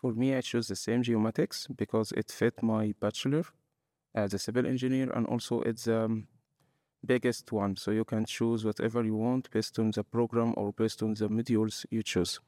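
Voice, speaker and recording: Young guy on a podcast, low mellow voice, dry close sound, explains things step by step. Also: flat delivery, moderate pace, dry recording. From Egypt. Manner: earnest, explains step by step in non-native English. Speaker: master's student guest. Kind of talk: podcast interview answers.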